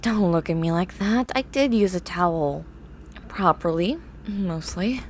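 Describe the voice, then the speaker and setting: A woman playing a grumpy, eccentric young horse-girl scientist in an ASMR roleplay, with formal, haughty, drawn-out wording. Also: fairly low pitch, animated. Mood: grudging. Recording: clear background noise, ambient sound.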